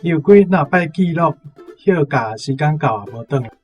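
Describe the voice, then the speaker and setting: Higher-voiced Taiwanese man teaching. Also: animated, normal speed, dull audio, over music. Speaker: language teacher. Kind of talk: instructive example sentences.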